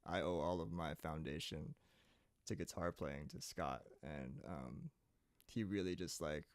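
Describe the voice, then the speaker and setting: A young man from Saipan talks in a very low voice, easy and unhurried, full of "um" and "like". The sound is close and dry, with no room to it. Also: no room sound. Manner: relaxed, rambling storytelling with many fillers. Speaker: guest singer-songwriter. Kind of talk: casual interview chat.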